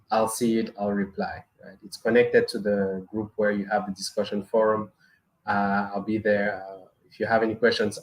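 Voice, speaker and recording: A man's voice: mid-range voice, rough recording. A middle-aged man from Cameroon, hosting a live broadcast in a casual way, with frequent restarts, fillers and small chuckles. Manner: hesitant, many restarts and fillers, chuckles often. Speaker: host of a weekly live broadcast on space engineering. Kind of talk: casual live talk to viewers.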